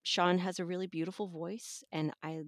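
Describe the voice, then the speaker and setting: A woman's voice: on the low side, level tone, moderate pace, no room sound, studio quality. A woman reviewer talking casually and conversationally as she discusses a book as a guest. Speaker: audiobook reviewer and podcast guest.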